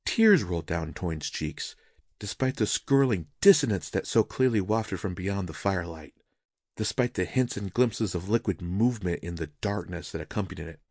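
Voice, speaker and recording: A man with a low voice reads scripted fiction as a narrator. His delivery is measured and solemn, with a dramatic touch. The sound is dry, with no room around it. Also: expressive, a little mellow, no room sound. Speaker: audiobook narrator.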